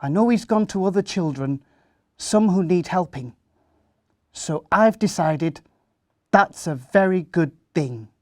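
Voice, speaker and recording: A man's voice: high-pitched, expressive, unhurried, long pauses, a little mellow, dry recording, studio quality. A man voicing an emotional, earnest young boy in a children's Christmas story, speaking emphatically. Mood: earnest, cheerful.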